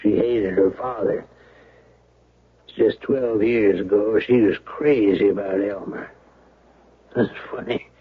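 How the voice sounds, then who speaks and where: An actor playing a laconic, knowing small-town sheriff in a radio mystery drama, folksy and unhurried. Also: male voice, on the low side, narrow, tinny audio. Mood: reminiscing. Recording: thin, band-limited old radio broadcast.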